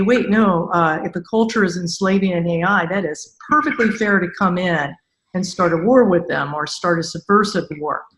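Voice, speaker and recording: A woman with a slightly low voice hosts a casual interview. She talks in a chatty, rambling way, and the room around her sounds clearly echoey. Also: fairly bright tone. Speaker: podcast host, interviewer.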